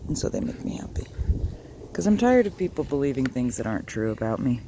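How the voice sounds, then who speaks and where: A man talking musingly while walking his dogs outdoors, sometimes speaking softly to them, recorded on a phone. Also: mid-range voice, sing-song, quick pace, noisy background. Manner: musing, soft-spoken, talks to his dogs. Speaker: video blogger. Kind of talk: rambling walk-and-talk vlog. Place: outdoors on a neighborhood walk.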